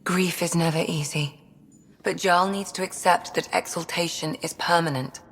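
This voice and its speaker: An actress playing a composed, caring doctor in a video game, measured and matter-of-fact. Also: female voice, on the low side, fairly even intonation, lightly acted. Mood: grave, caring. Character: composed, caring female ship's doctor and scientist. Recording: reverberant room with faint music.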